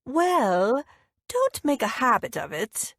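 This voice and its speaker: A woman's voice: a little high, very animated, slow, fairly bright tone, dry recording, clean studio sound, pitched above the performer's own voice, heavily acted. A woman voicing a wealthy, overbearing mother in an audiobook, with an airy, cutting, theatrical manner. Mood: brisk, cutting. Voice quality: trilling, crisp. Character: posh, overbearing wealthy mother, shrill and breezily insistent. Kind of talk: audiobook character dialogue.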